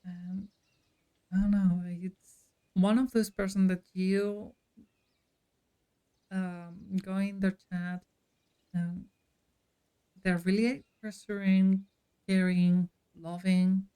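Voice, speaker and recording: A woman streamer chatting casually with her viewers in a friendly, unhurried way while playing a game. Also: medium pitch, little pitch movement, mellow, clean tone.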